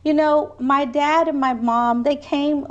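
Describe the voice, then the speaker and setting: A woman's voice: high-pitched, fairly even intonation, a little slow, lo-fi sound. A woman from Trinidad, a city council candidate, answering interview questions in an earnest, persuasive way with frequent restarts.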